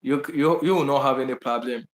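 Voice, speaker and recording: A man's voice: medium pitch, a little fast. Man with a Ghanaian accent, echoey room. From Bahamas, raised partly in Ghana. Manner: rambling, many restarts and fillers, emphatic repetition. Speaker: vlogger answering viewer questions. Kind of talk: casual live chat to viewers. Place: indoors in Brazil.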